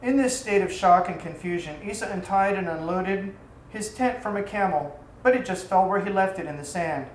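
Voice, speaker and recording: Female storyteller, mid-pitched, dramatic and measured reading; roomy echo, slight background noise. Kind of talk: dramatic literary story narration. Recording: echoey room, faint background noise.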